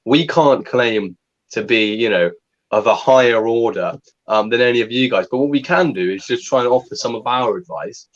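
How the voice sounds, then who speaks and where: A young man co-hosting a casual livestream, talking in a calm, measured way and reading a listener's letter slowly. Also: medium pitch, lo-fi sound. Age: young adult. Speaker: co-host.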